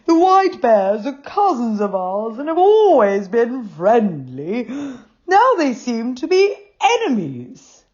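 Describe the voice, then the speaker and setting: A woman's voice: on the high side, wildly swooping pitch, takes it slowly, darkish tone, voice pushed up, heavily acted. Woman doing a growly father bear. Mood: mournful. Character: gruff, worried father bear. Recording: dull lo-fi recording.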